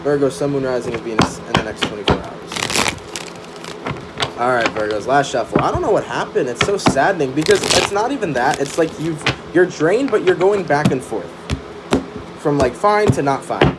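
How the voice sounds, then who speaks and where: A man's voice: on the high side, a little fast. A man giving a casual, chatty live-streamed tarot reading, full of fillers and "right?" tag questions. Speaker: tarot reader. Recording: steady background noise.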